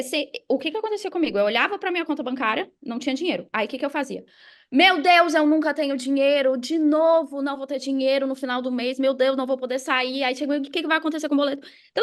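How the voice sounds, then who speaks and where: Female podcast guest, Brazilian accent, high-pitched and rapid, conversational and emphatic explainer. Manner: emphatic, asks and answers own questions. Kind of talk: casual explanatory interview talk.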